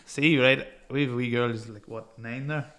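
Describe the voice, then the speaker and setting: A man's voice: medium pitch, fairly even intonation, normal speed, fairly bright tone. A man co-hosting a casual banter podcast, blunt and sweary with dry teasing. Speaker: podcast co-host.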